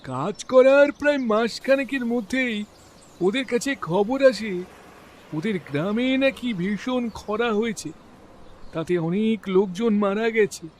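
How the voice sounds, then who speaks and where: Labour contractor, played by a man, very high voice, slow and earnest delivery, defensive, audio detective drama.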